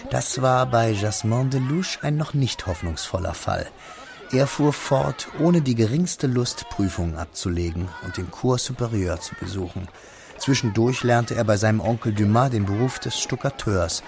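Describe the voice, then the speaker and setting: A man playing a reflective young man who narrates his melancholy past in measured, literary radio drama style. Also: medium pitch, animated, gravelly, noisy background. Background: music.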